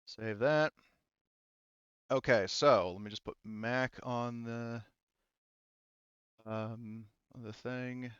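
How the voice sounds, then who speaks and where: Smooth-voiced man running a tabletop game. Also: mid-range voice, steady tone, drawn-out words, pauses often, fairly bright tone, no echo, dull audio. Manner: informal, sweary, hesitant, thinking aloud. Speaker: game master. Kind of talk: casual tabletop game narration.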